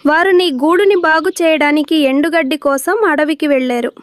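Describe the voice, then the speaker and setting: A woman's voice: high-pitched, normal speed, no room sound, natural voice. A woman voicing a polite, helpful pigeon wife in a children's cartoon. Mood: kind, helpful. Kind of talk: children's moral-story cartoon dialogue.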